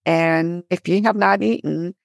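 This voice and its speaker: A woman's voice: lowish voice, fairly even intonation, measured pace, gravelly, no room sound, clean studio sound. A middle-aged woman from Korea speaking enthusiastically as a guest in a conversational podcast interview. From born in Korea, immigrated in 1975. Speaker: podcast guest, theologian and teacher.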